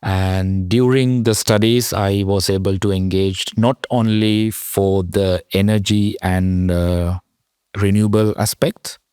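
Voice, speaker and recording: A young man from Sri Lanka, a PhD student, talking as a podcast guest in a halting way with many fillers. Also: low voice, dark tone, dry recording, studio quality. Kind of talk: podcast interview.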